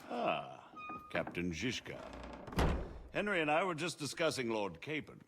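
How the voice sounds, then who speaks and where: An actor playing a shrewd, haughty margrave who speaks in formal, measured, eloquent phrases in a video game drama. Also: male voice, mid-range voice, huge pitch swings, very noisy. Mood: composed, pointed. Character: shrewd, haughty noble margrave, smooth political schemer.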